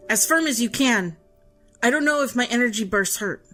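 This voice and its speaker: A woman playing a weakened but composed healer in an audio drama, speaking in a measured, instructing way. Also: on the high side, expressive, sharp tone. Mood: focused, instructing. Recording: music under the voice.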